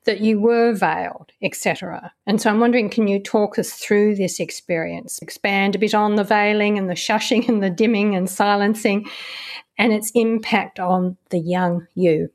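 A woman interviewer with a mid-range voice sets up careful, measured questions. She sounds encouraging toward her guest and paces her thoughts slowly. Her questions run long and invite a personal story. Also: medium pitch, fairly even intonation.

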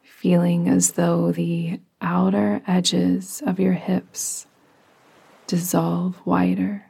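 A woman guiding a relaxation meditation in a soft, breathy, partly whispery voice with a soothing, gentle, unhurried manner. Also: on the low side, level tone. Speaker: yoga nidra meditation guide.

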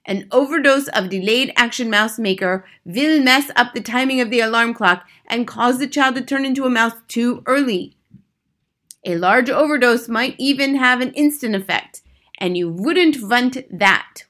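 A woman's voice: fairly high pitch, fairly bright tone, pitched above the performer's own voice, natural voice. A woman voicing an imperious witch leader from a storybook, bossy, scornful and relishing every instruction. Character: imperious, triumphant Grand High Witch, bossy villain. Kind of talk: storybook character read aloud.

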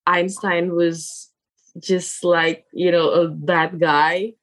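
A young woman whose first language is Indonesian, speaking English in a casual interview as the guest and often pausing to search for words. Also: lowish voice, level tone. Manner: halting, searching for words with many fillers.

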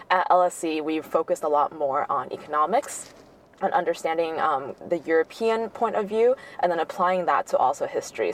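A woman's voice: low voice, far from the mic. A young woman from Shanghai with an American accent, answering conversationally as an interview guest. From grew up in Shanghai, China. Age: young adult. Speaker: interview guest, corporate innovation manager.

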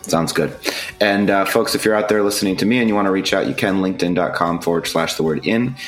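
A male podcast host with a low voice speaks in an upbeat, friendly way. He recaps and closes the show over faint music. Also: fast. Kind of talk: podcast interview.

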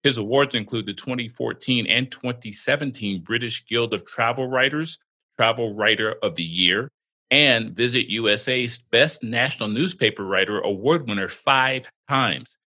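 A man's voice: fairly low pitch, pushed and bright. A man hosting a casual travel podcast, joking with his co-host and stumbling through restarts and fillers.

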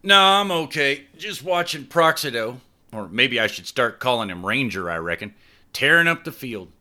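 A man's voice: medium pitch, sing-song, moderate pace, sharp tone, voice pushed up. A man voicing a big, downcast young ex-player who talks offhandedly in an audiobook. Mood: distracted. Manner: casual, offhand, slangy.

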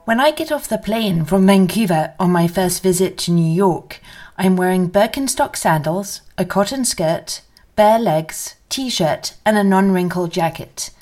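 A woman's voice: mid-range voice, a little mellow. A woman reading a first-person story aloud from a script.

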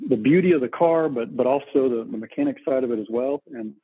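A man's voice: medium pitch, fast talker, slightly rough. A middle-aged man from Texas, telling his story casually as an interview guest over a remote call. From Texas, United States. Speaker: interview guest, business owner. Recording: muffled, tinny phone-like connection.

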